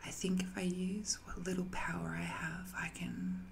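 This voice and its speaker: A woman playing an ancient banished evil spirit in an intimate audio drama, seductive, lonely and sly, with a coy, breathy delivery that often slips toward a whisper. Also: lowish voice, flat delivery, normal speed, slight background noise. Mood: hushed, urgent.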